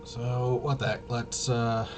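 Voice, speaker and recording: A man chatting casually as a livestream host, halting, often interrupting himself and trailing off. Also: mid-range voice, level tone, noisy background. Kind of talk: casual rambling livestream chat.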